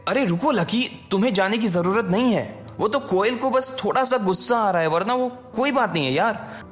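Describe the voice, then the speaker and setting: A worried young college guy, acted by a man in an audio drama, pitched up and emphatic. He sounds protective and urgent, pleading his point over background music. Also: moderate pace, lightly acted. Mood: placating.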